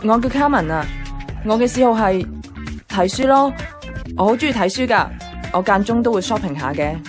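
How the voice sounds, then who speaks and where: A woman's voice: medium pitch, animated, moderate pace, natural voice. Casting: actress as dramatic, lovelorn young office woman, Hong Kong Cantonese, wry confessional radio-play narrator, music bed. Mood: wry, hopeful. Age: young adult.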